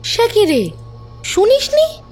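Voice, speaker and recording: A woman playing a credulous, excited neighbourhood aunt in an audio drama, gossipy and full of awe. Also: very high-pitched, takes it slowly, soft background music, heavily acted. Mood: awed, excited. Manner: animated, gossipy, awed. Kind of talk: Bengali audio drama acting.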